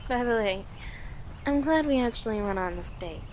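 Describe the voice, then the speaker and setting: A woman's voice: on the high side, animated, a little mellow, a little hiss or hum, close to an everyday voice. A woman playing a playful, affectionate young girlfriend on a date in an intimate, conversational audio roleplay, with a teasing manner. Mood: tender, affectionate. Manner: teasing, giggly, conversational with pauses.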